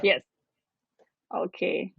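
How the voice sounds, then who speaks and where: A woman's voice: a bit bright, dry recording, muffled recording. A woman with a Thai accent hosting a live stream interview, bubbly and laughing often as she translates for viewers. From Thailand. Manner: bubbly, laughs often, rapid code-switching. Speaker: host.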